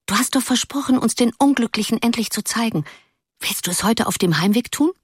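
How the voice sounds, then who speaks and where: A woman voicing a small, gentle schoolgirl who coaxes and pleads with her friends. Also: fairly high pitch, animated, normal speed, no room sound, clean studio sound, voice pushed up. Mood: eager.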